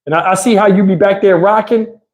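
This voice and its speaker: High-pitched man chatting casually on livestream. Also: fairly even intonation, fairly quick. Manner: slangy, profane, rambling. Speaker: livestream host. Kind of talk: casual livestream chat with viewers.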